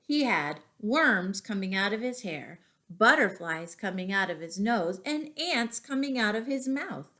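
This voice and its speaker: A woman reading stories aloud to young children, expressive, pausing to ask them questions. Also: medium pitch. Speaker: children's storytime reader and teacher.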